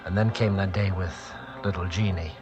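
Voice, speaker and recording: A man's voice: deep voice, steady tone, moderate pace, dull audio, music in the background, lightly acted. An actor playing a protective, suspicious husband and father, plain-spoken and blunt, in 1940s radio drama style. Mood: grim recounting. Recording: vintage 1940s radio recording.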